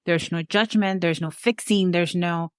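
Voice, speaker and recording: A woman's voice: on the low side, fairly even intonation, measured pace, clean tone, no echo. Earnest Venezuelan-accented woman, dry sound. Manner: earnest, reflective, speaks of faith warmly. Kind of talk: conversational interview.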